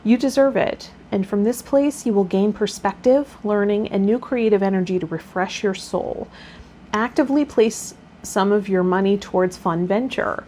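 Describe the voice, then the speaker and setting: A woman hosting a tarot vlog, talking in a gentle, encouraging way as she reads and explains. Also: medium pitch, normal speed, slight background noise. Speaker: tarot reader and channel host. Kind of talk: reading aloud and casual spiritual vlog talk.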